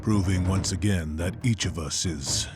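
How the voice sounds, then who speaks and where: A man's voice: sits low, unhurried. An actor playing a brooding masked vigilante who narrates his thoughts. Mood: grim. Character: brooding masked male vigilante, grim and determined. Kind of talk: inner-monologue narration. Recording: loud music score behind.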